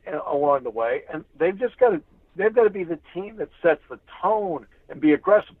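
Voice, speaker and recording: A man's voice: a little high, no echo. Man analyzing sports on a phone-like line. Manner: emphatic, repeats phrases for emphasis. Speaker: radio play-by-play sports announcer, interview guest. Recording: tinny narrow phone-like line.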